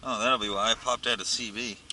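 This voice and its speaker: Sweary man over clear car noise. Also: medium pitch, fairly even intonation, a little fast. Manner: offhand, sweary, grumbling. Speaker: amateur how-to video maker. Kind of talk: casual hands-on tutorial, talking while working. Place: inside a car. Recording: clear vehicle noise and clicks.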